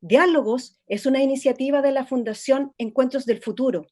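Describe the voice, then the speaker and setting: An actress playing a female documentary reporter, reading scripted narration in a measured, informative way. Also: high voice, a little mellow.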